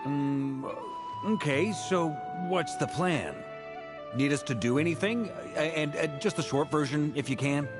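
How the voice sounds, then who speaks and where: A man's voice: fairly high pitch, a little slow, over music, natural voice. An actor playing a practical male adventurer in a video game, casual and a little hesitant. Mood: casual, tentative.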